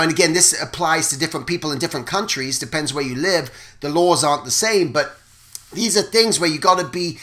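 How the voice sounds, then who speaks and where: A man hosting an art talk show, encouraging and animated as he chats with a guest. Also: on the high side. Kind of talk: casual interview chat.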